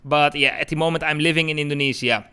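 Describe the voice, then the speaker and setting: A man's voice: on the high side, fairly even intonation, fast, sharp tone, clear, resonant tone. A man from the Netherlands with a Dutch accent, hosting a live crypto trading stream and answering viewers in a chatty way. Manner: chatty, keeps calling viewers "guys". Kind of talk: live-stream chart talk, answering chat.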